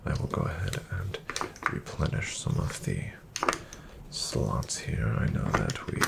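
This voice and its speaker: A man playing a courteous, composed casino dealer in a soft-spoken ASMR roleplay, with formal phrasing and a breathy near-whisper. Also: rumbling low, sing-song, heavy background noise, heavily acted. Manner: formal, polite, measured phrasing.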